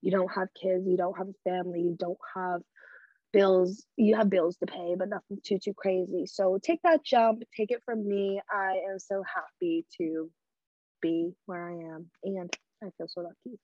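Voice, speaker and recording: A woman's voice: lowish voice, fairly even intonation, moderate pace, no echo. Young woman doing a solo podcast, crude and blunt, dry slightly dull mic sound. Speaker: podcast host. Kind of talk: casual solo podcast talk. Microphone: podcast microphone.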